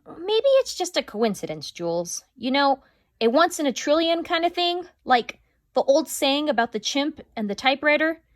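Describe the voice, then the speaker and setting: A woman's voice: sits high, very animated, moderate pace, dry recording, clean studio sound, voice pushed up. A woman voicing a tired, wry father in a storybook reading. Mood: skeptical. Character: tired, skeptical dad, wry and patient.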